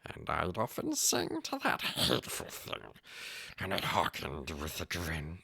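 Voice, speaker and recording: A man performs a small, wretched creature with a gravelly, croaking rasp. The voice swings wildly from low mutters to thin whines, twitchy and strained, as it slowly recites like a dark storybook tale. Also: wildly swooping pitch, no echo, clean studio sound, full character voice. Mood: haunted, brooding. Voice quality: raspy, croaky, strained throat. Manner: talks to self in plural, erratic swoops. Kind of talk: dramatic poem recitation in character.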